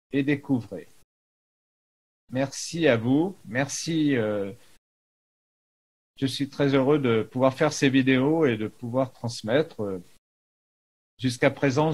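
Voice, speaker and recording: Male spiritual guide, medium pitch, husky tone, unhurried and halting, improvised teaching. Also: darkish tone. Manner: halting, frequent pauses mid-phrase. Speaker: spiritual teacher, video maker. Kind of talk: unscripted spiritual talk with guided meditation.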